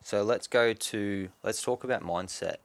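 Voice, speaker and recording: A man hosting a podcast, asking questions in a relaxed, hesitant way with many fillers. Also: lowish voice, unhurried, creaky, no echo. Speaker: podcast host. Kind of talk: casual podcast interview.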